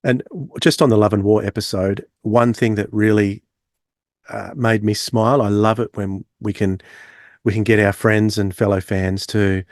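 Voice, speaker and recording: A man's voice: on the low side, steady tone, moderate pace, a little mellow, no room sound, clean studio sound. A man co-hosting a podcast, talking conversationally and reading out prepared text. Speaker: podcast co-host. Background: acoustic guitar music.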